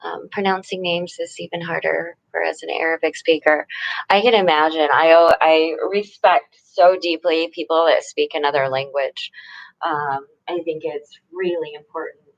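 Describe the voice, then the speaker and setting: A woman chatting with viewers during a live painting stream, soft-spoken and halting, often dropping to a whisper. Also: sits low, fairly even intonation, dull audio. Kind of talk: casual live-stream chat while painting.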